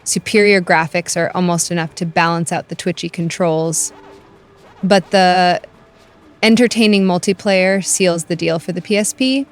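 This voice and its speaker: A woman narrating a scripted video game review in an even, measured reading voice. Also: fairly low pitch, faint music behind. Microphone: voice-over.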